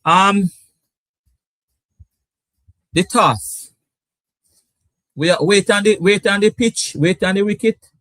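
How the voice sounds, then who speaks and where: A man with a Caribbean English accent hosting a sports debate show, animated and repeating his words. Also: high-pitched, slow pace, long pauses, no echo. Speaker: show host. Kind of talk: lively sports debate talk.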